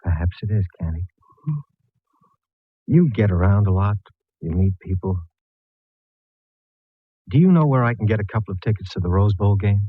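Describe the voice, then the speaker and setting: Actor as dry, low-voiced police inspector man. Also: normal speed, long pauses, lightly acted. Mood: slow, teasing. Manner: dry, laconic. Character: dry, teasing male homicide police inspector. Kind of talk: 1940s radio detective acting. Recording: muffled, thin vintage radio recording.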